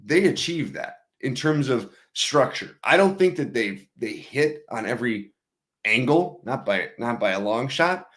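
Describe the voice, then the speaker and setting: A man hosting a live wrestling news show, chatty and upbeat, a bit hoarse from losing his voice. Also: mid-range voice, lively intonation, unhurried, fairly bright tone. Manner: upbeat, chatty, many fillers. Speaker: host. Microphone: streaming setup mic.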